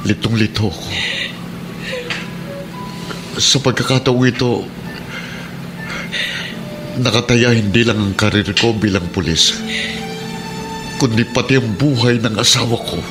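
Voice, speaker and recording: A man's voice: lowish voice, normal speed, pauses often, lightly acted. A man playing a troubled suspended policeman and steadfast husband in a radio drama, grave and earnest. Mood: heavy-hearted. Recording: radio drama over prominent music.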